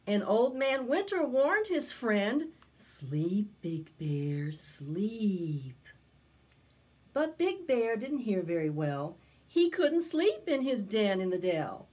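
An older woman hosting preschool storytime, reading a picture book aloud with expressive, drawn-out phrasing. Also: a little high. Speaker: library preschool storytime host. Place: small indoor space with decorated backdrop.

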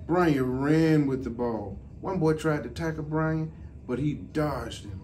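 A middle-aged man reads a children's storybook aloud in a measured, deliberate way. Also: on the high side, darkish tone. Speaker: deputy fire chief. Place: indoor office, seated. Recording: distant mic, some background noise.

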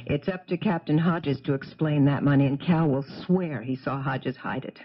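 Scheming cool woman, raspy voice, muffled old radio drama. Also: low voice, normal speed. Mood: brazen, nonchalant. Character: scheming, brazen woman plotting a frame-up. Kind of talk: 1970s radio drama acting. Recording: dull vintage radio recording.

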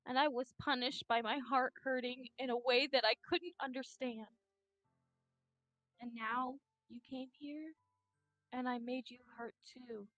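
A despairing anime schoolgirl, performed by a woman, high and bright in voice. She is tender and tearful and blames herself, as in a visual novel drama read aloud. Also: fairly even intonation, unhurried, no room sound, soft background music. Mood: guilty, sad. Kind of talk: staged reading of a visual novel.

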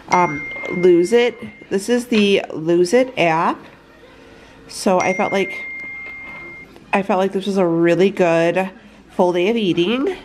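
A woman's voice: lowish voice, darkish tone, a little hiss or hum. A young woman vlogger with a stuffy, nasal voice, chatting casually about her meals in her home kitchen on a handheld phone camera. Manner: chatty, rambling, upbeat. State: stuffy from allergies. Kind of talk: casual food diary chat.

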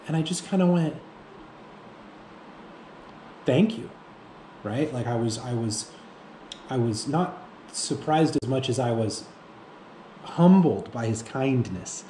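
A man in his thirties speaking off the cuff to camera as a fitness vlogger, haltingly, often repeating himself and searching for words. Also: mid-range voice, expressive, warm tone. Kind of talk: reflective unscripted monologue to camera. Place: indoor room with bare walls. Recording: echoey room with faint background noise.

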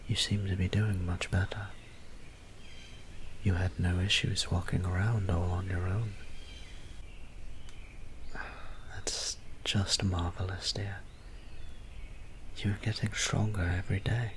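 A man playing a gentle, doting young vampire priest in an ASMR roleplay, speaking softly and half-whispering in polite, old-fashioned phrases. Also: low-pitched, a little slow, pauses often. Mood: tender, doting. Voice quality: soft, breathy, half-whispered. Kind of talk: soft-spoken ASMR roleplay. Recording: steady background ambience noise.

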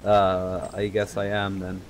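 A man talks easily with friends as they play, asking about the game. His voice is very deep and stays flat, with a little hiss in the background. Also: slow. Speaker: gaming friend. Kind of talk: casual gaming chat.

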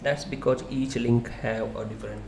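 A man giving a loose, conversational screen-share tutorial on SEO software, with frequent fillers and restarts. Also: medium pitch, steady tone, moderate pace.

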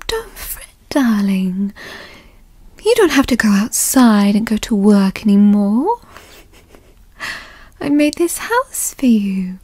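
A woman playing a doting, possessive yandere girlfriend who teases and coos in a soft, breathy ASMR roleplay whisper, close to the mic. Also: fairly high pitch, no room sound, full character voice. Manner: slow, breathy, cooing, teasing. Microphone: close microphone, dry.

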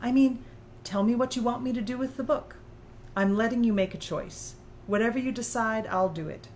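A woman's voice: a little high, normal speed, darkish tone, slight background noise, lightly acted. A woman playing a composed, insistent female author who mentors in audiobook dialogue with a precise, didactic, challenging manner.

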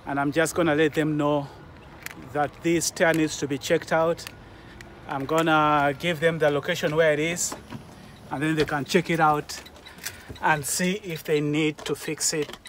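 Male truck-driver vlogger, slow and step-by-step, casual, faint vehicle noise in the background. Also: on the high side, flat delivery. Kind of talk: casual vlog walkthrough, thinking aloud. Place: truck cab and outdoor rail yard.

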